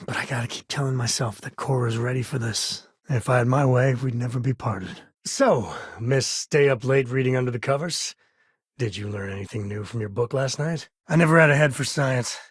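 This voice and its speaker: An actor playing an easygoing, protective frontier ranger and father in a video game, with a husky voice and wry, folksy conversational delivery. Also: medium pitch, fairly quick, dry recording, studio quality, natural voice. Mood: thoughtful, then teasing, fond. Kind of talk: video game character dialogue.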